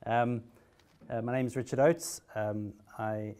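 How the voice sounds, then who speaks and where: A man's voice: medium pitch, fairly even intonation, unhurried, a little mellow, clear, resonant tone. A middle-aged South African man with a South African accent, giving a hesitant conference talk as a patient, full of fillers and restarts, with a wry humor. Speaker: patient speaker.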